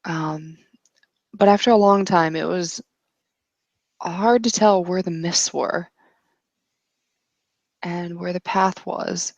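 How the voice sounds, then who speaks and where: A woman narrating an improvised tabletop roleplaying story as game master, in a hesitant, descriptive way with pauses. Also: on the low side, steady tone, no room sound, dull audio.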